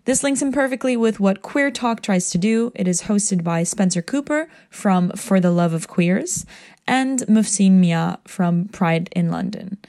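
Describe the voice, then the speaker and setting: A woman's voice: mid-range voice, animated, measured pace, mellow. Upbeat woman podcast host. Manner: upbeat, enthusiastic. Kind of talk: scripted introduction.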